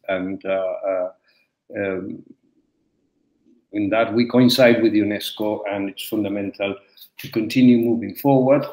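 A man's voice: lowish voice, a little mellow. Older man from Argentina, roomy webcam audio. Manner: halting, many fillers and restarts. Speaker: webinar moderator. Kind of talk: opening remarks on a video call. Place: room at home. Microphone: laptop webcam on a video call. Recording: roomy video call audio.

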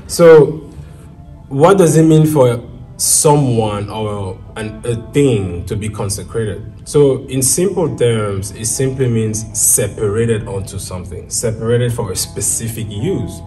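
A man from Cameroon teaches from scripture with earnest conviction that builds into fervent emphasis. His voice is dark and mellow. He sits a little back from the mic, with soft guitar music underneath. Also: medium pitch, slow, far from the mic. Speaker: apostle, co-host.

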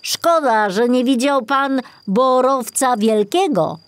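A protective little forest gnome from a children's tale, put on by a woman. He sounds fussy and knowing, pitched high, with animated swoops in his speech. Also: takes it slowly, no room sound. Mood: chiding.

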